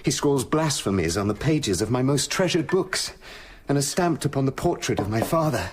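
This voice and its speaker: An actor with a British accent playing a tormented scientist with a sinister other self, speaking formally and intensely in a radio play. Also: male voice, medium pitch, expressive, normal speed, slight background noise, close to an everyday voice. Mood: weary, haunted. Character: tormented male scientist slipping into his menacing, sinister alter ego. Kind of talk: dramatic radio play acting, confessional monologue.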